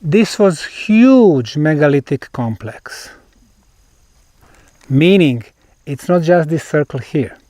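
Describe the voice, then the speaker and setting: A man with a Bosnian accent explains a site on location as a researcher, in deliberate, emphatic short phrases with pauses. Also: fairly high pitch, mellow, dry recording. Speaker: researcher presenting a field investigation.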